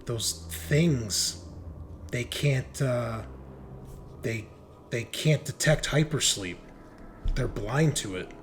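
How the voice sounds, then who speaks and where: Man acting a halting, shaken spaceship survivor. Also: medium pitch, lively intonation, a little hiss or hum. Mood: halting, uneasy. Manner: halting, stammering, fragmented recollection. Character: shaken, dazed male crewman freshly woken from cryosleep.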